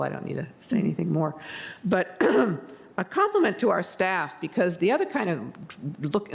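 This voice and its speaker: An American woman on a county board, giving hesitant remarks at a public hearing, her voice gravelly, often clearing her throat with many ums. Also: fairly low pitch.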